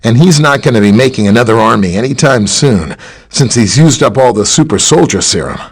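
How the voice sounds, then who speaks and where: Lively, husky superhero scientist. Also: male voice, medium pitch, a little fast. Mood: matter-of-fact. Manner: casual, conversational, explanatory. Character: friendly, knowledgeable male superhero scientist, easygoing ally. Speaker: video game voice actor playing a superhero scientist. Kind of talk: video game character dialogue, briefing and greeting.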